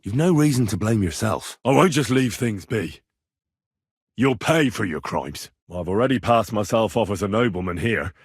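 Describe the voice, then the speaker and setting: A man's voice: on the low side, expressive, moderate pace, breathy edge, dry recording, studio quality. A man acting as a plain-spoken, righteous young medieval man in video game dialogue, direct and earnest in manner. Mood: accusing, angry. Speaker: voice actor.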